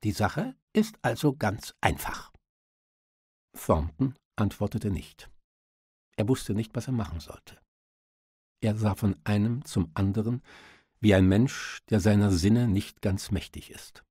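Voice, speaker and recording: A male audiobook narrator reading literary prose in a lowish, dark voice. It has a husky grain, and the recording is dry and close. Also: a little slow, dry recording.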